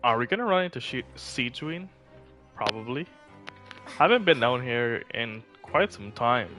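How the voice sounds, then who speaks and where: A man's voice: medium pitch, animated, moderate pace, sharp tone. A man casually commenting on a game as he streams it live. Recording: faint game music behind the voice.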